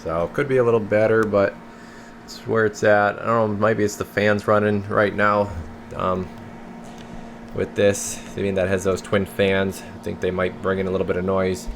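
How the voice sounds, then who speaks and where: A man's voice: lowish voice, normal speed. A man casually narrating an unscripted technical demo, muttering and thinking aloud as he works. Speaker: radio technician demonstrating a CB radio. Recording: noisy room, steady hum of equipment.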